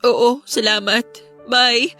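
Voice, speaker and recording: A woman's voice: fairly high pitch, steady tone, slow pace, pushed and bright, soft background music. A woman playing an ashamed, fearful schoolgirl daughter, meek and sorrowful, in an emotional radio drama. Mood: downcast.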